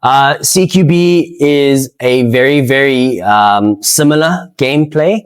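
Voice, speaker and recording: A man with a South African accent giving casual, unscripted interview answers in a hesitant, halting way, often repeating words. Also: mid-range voice, clean tone. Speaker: interviewee, amateur speedsoft player and organiser.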